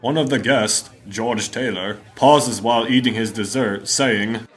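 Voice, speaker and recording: A man's voice: medium pitch, unhurried, a faint crowd. A man delivering scripted narration as the announcer of a 1950s-style radio mystery.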